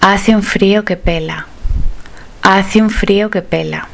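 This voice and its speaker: A woman teaching phrases, saying each one twice slowly and carefully as a language drill. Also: medium pitch, a bit bright. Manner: slow, careful, clearly enunciated repetition.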